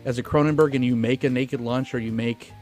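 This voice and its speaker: A man's voice: mid-range voice, fairly even intonation, a little fast, a little mellow, faint music behind. A man co-hosting a film podcast in casual, opinionated, profane conversation.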